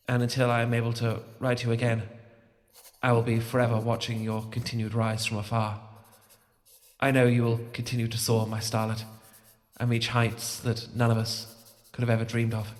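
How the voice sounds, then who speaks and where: A man with an English accent playing a charming, shy young gentleman vampire in an ASMR romance roleplay, polite and old-fashioned, often stumbling over his words. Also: medium pitch, level tone, normal speed, lightly acted. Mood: tender. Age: young adult.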